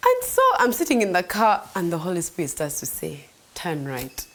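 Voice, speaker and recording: A young woman, telling a faith testimony in an earnest, animated way that sometimes drops to a soft near-whisper. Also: lowish voice, normal speed. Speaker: host sharing a faith testimony. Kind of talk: devotional storytelling.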